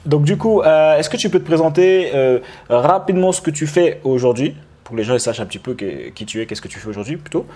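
A man in his thirties hosts a live online interview from a room at home. He talks loosely and warmly to his viewers, full of fillers and restarts. The sound comes through a webcam on a video call. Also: a little high, fairly quick, few pauses. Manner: relaxed, chatty, many fillers and restarts. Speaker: interview host. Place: indoor room with lamp and wall art.

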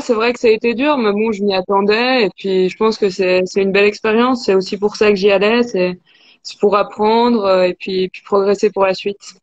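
Young woman on a dull video call. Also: mid-range voice. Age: 20s. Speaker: athlete being interviewed, cross-country skier. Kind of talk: casual interview answers. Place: indoors in a wood-panelled room. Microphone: video call, device at arm's length.

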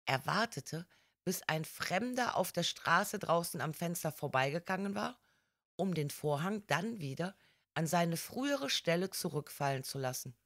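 A woman reads an audiobook story at an unhurried pace. Her voice sits slightly low, and her delivery is careful and measured. The sound is dry and close. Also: expressive, a bit bright, no echo. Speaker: audiobook narrator. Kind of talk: scripted storytelling narration.

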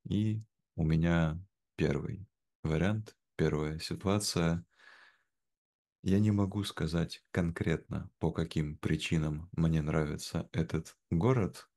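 A young man speaking in a measured, careful way, as a guest in a slow conversation for language learners. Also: very deep voice, darkish tone. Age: young adult. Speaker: interview guest. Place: indoor room at home, video call. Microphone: video call, wearing headphones.

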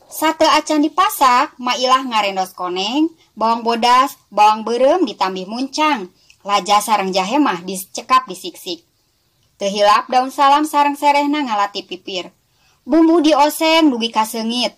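Woman storyteller, West Java Sundanese accent, fairly high voice, expressive scripted narration for children. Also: a little slow. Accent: Sundanese-speaking West Java.

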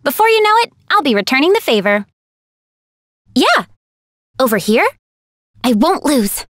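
A woman voicing a cheerful, earnest young woman in a game, speaking with bright eagerness. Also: high-pitched, very animated, measured pace, no room sound. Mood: eager. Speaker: game character. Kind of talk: game voice clips. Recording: dry studio recording.

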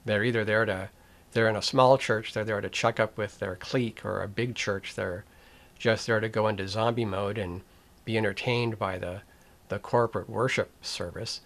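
An older man hosting a commentary video, talking straight to the camera in a slow, reflective way, with pauses, restarts and a wry edge. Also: fairly low pitch, steady tone, vocal fry, fairly bright tone. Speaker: video essay host.

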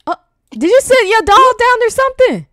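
A woman's voice: very high-pitched, sing-song, moderate pace, a bit bright, no room sound, clean studio sound, heavily acted. A woman playing a reluctant, flustered granddaughter in an improvised game roleplay, slangy and repeating herself. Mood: awkward. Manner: slangy, dramatic, stammering repetition.

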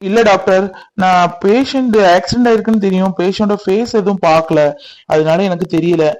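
A man's voice: high voice, a little fast, darkish tone, lightly acted, rough recording. A man playing a helpful young doctor in a family drama, polite, sprinkling in English words, with a southern Tamil Nadu accent. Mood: earnest. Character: apologetic, helpful young doctor among worried relatives.

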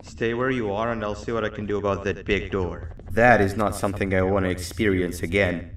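An actor playing a weary, sardonic yet loyal devil monster in a video game, wry and conversational. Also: male voice, fairly low pitch, steady tone, fairly quick, a little hiss or hum, lightly acted. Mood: instructive. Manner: wry, conversational, exclamatory when pressed. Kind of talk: video game character acting. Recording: echoey, distant, music behind.

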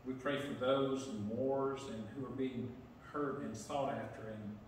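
A middle-aged man leading prayer as pastor, plain-spoken with some halting fillers, heard from a pulpit mic in a large church sanctuary. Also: medium pitch, fairly even intonation, a little hiss or hum. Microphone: pulpit mic, camera far back. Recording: echoey sanctuary from far off.

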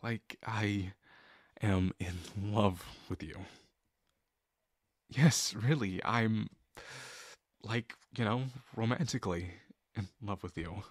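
A man's voice: lowish voice, dry recording, clean studio sound. A man playing a shy, sincere young guy in love with his best friend, speaking hesitantly and intimately to the listener in an audio roleplay. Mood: nervous, tender. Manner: hesitant, halting, full of pauses and sighs. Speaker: voice actor.

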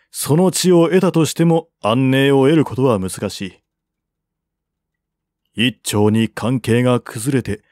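A man voicing a shrewd, calm military strategist of ancient China in an audiobook, formal and reasoned. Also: mid-range voice, no echo, clean studio sound, natural voice. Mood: sober reasoning.

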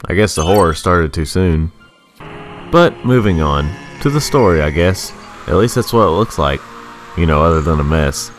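A man's voice: low-pitched, animated, moderate pace, faint music behind. A man reading a scripted, rhyming video game review in a sarcastic, sing-song manner.

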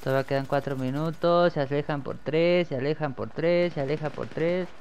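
A young man with a Mexican accent doing excitable live commentary over a shooter game, cursing whenever he gets killed. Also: on the high side, brisk, creaky, a little hiss or hum. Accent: Mexican Spanish. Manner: excitable, swears when killed. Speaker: gameplay commentator.

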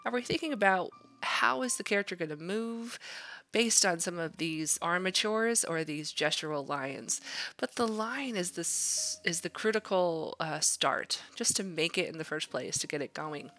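A woman's voice: on the low side, animated, normal speed, pushed and bright. A woman art instructor casually narrating a drawing demonstration, thinking aloud in an informal, encouraging way. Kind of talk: casual drawing tutorial narration over a screen demo.

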